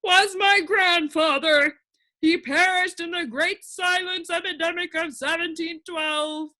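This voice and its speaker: A woman voicing a loud, weepy and gleeful shapeless male noise creature in a storybook, with bellowed, drawn-out exclamations. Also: extremely high pitch, fairly even intonation, voice pushed up, heavily acted. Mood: anguished, roaring.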